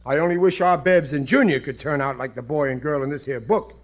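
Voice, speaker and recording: A man acts a working-class family father in a vintage radio comedy. He blusters eagerly in a high-pitched voice, tripping over words and mangling grammar. He sounds thoroughly sure of himself and easily fooled, on an old 1950 broadcast recording. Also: fairly quick, close to an everyday voice. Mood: pleased. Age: middle-aged. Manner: blustery, stammering, ungrammatical. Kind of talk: 1950 radio sitcom acting.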